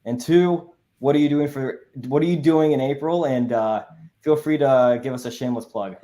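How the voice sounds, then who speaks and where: A man's voice: mid-range voice, moderate pace, mellow. A man hosting a live online panel, talking in an upbeat, laid-back way with frequent "you know" fillers. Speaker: host, moderator. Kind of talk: casual livestream moderating.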